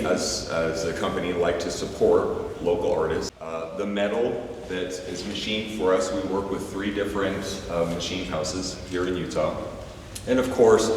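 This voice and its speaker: Low-voiced man presenting in an echoing hall. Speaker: company chief executive presenting a product. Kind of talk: informal product launch talk. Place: large reverberant indoor museum room. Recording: echoey hall, distant mic, faint noise.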